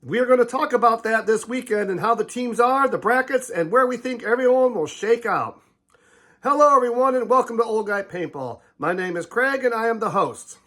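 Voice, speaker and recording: A man's voice: extremely high pitch, lively intonation, normal speed, sharp tone. An older man hosting a casual paintball preview, sounding a bit nasal from a head cold and rambling with fillers and restarts. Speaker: host. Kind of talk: casual sports preview talk.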